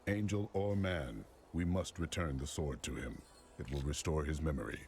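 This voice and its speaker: An actor playing a stern, honorable warrior hero in a video game, formal, earnest and forceful. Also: male voice, very deep voice, normal speed, a little hiss or hum. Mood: steady, resolute. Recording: under very loud game music.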